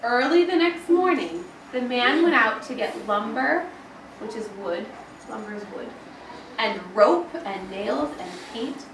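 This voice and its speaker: High, animated woman teacher reading a storybook. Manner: animated, dramatic storytelling with emphasis on key words. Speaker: elementary school teacher. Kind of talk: expressive picture-book read-aloud to a class. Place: indoor school classroom, with children present. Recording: roomy, distant, noisy classroom recording.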